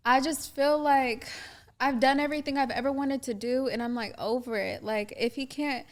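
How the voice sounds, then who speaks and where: A woman with a loud, fairly high voice speaks as a podcast guest. She talks frankly and matter-of-factly in relaxed conversation. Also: normal speed. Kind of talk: casual podcast chat.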